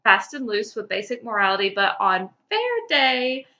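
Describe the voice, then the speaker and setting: An earnest storybook boy, acted by a woman, polite and eager to do right. The voice is bright and well-mannered, with slightly dull lo-fi sound. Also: on the high side, very animated, moderate pace, heavily acted. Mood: earnest, aghast.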